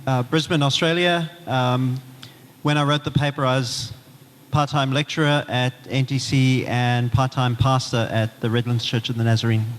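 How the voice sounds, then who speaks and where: A man from South Africa, a minister, introducing himself on a panel in a halting way with pauses. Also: mid-range voice, fairly even intonation, slight background noise. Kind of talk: brief self-introduction on a panel.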